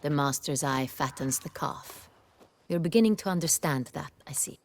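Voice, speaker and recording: A woman's voice: deep voice, moderate pace, slight background noise. An actress playing a shrewd, regal duchess in a video game, composed and imperious in her delivery. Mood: composed command.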